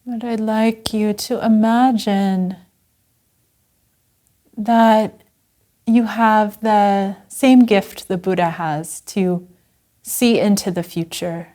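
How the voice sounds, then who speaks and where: Woman, meditation guide, very slow pace, mellow dark timbre, gentle, long pauses. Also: medium pitch, steady tone. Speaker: Zen Buddhist teacher guiding a meditation.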